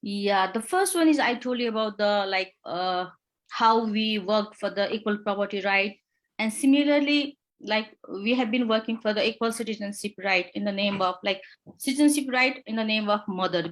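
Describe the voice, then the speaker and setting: A young woman from Nepal, a human rights lawyer, answering interview questions haltingly, with many fillers and restarts. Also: medium pitch.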